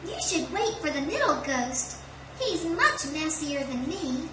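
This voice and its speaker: A woman voicing a wee, timid, pleading little ghost for a puppet story, her voice shifted up in pitch. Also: sing-song, measured pace. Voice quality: squeaky, pitch-altered. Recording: echoey, distant, very noisy room.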